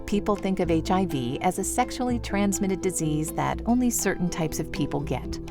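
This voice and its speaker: A woman giving a measured, scripted explanation as a documentary narrator. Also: on the low side. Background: music.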